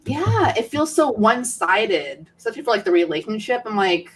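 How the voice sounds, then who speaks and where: A woman chatting casually about books in a halting, tentative, informal way, as co-host of a livestream. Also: mid-range voice, very animated, breathy edge. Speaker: co-host of a book readalong livestream.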